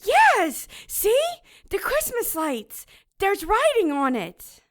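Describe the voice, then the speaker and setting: A woman playing a frightened, insistent little orphan girl in an audio drama, childlike, earnest and halting. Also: very high voice, wildly swooping pitch, full character voice.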